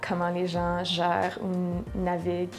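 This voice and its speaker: Low, gravelly woman. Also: monotone, measured pace, soft background music. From Manitoba, Canada. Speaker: touring artist. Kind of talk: documentary interview.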